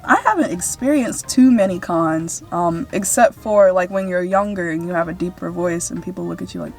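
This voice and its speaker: A woman in her twenties with a deep-ish voice, chatting casually and playfully with a friend in a rambling way. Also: moderate pace, a little mellow, soft background music. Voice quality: deep-ish, slightly husky-sounding voice. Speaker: vlog co-host.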